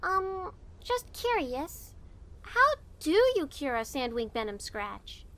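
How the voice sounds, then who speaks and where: A woman voicing a gentle, earnest little girl dragon, a bit hesitant, for an animated fan dub. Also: high-pitched, slow pace, exaggerated voice.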